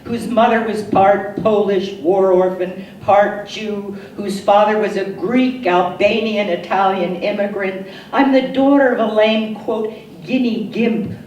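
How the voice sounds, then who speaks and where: An older American woman from New Jersey reads poetry dramatically, with solemn, deliberate phrasing and pauses. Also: medium pitch, darkish tone, echoey room, far from the mic, a little hiss or hum, poor audio. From Newark, New Jersey, USA. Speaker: poet.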